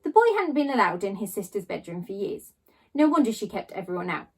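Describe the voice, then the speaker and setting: Expressive woman reading a children's storybook. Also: mid-range voice, slightly rough, low-quality recording. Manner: theatrical, with dramatic pauses and drawn-out emphasis.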